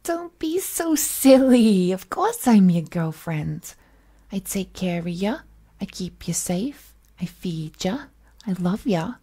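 A woman's voice: mid-range voice, big pitch swings, slow pace, mellow, husky, exaggerated voice. A woman playing a cheerful, possessive villainess kidnapper in a roleplay audio drama, cooing and coaxing the listener in folksy slang. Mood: possessive, soothing. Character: cheerful, possessive female supervillain kidnapper, doting and unhinged.

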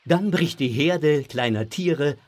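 A man's voice: on the high side, animated, takes it slowly, background noise. A man narrating a children's audio drama from a script.

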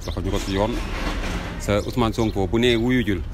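A man's voice: lowish voice, moderate pace. A middle-aged man with a Senegalese accent giving an impassioned street opinion, emphatic and full of rhetorical questions. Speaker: street interviewee. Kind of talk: impassioned vox pop opinion, mixing two languages. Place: outdoors in a city street. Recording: outdoor street ambience and traffic.

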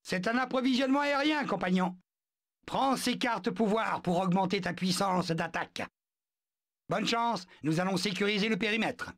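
An actor voicing a crisp, officious penguin commander in a video game dub. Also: male voice, high voice, moderate pace, fairly bright tone. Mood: brisk. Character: brisk military-style penguin commander.

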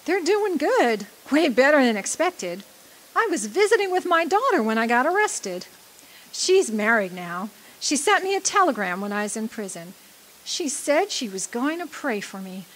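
Woman playing a brassy, lively, high-voiced dame. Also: moderate pace, heavily acted, low-quality recording. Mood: earnest. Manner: brassy, wry, flirtatious. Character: loud, brassy, flashy dead woman, wry and defiant. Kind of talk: radio drama acting.

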